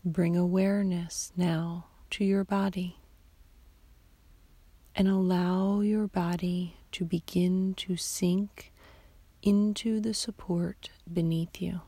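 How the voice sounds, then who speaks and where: A woman guiding a sleep meditation in a soft, half-whispered, soothing voice, leaving long pauses between short phrases. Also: on the low side, level tone, breathy edge, no echo. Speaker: meditation guide.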